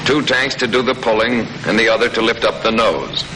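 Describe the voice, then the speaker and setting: An American man reading scripted 1940s military training film narration in a clear, matter-of-fact, instructive manner. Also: fairly low pitch, fairly quick. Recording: noisy old 1940s film soundtrack.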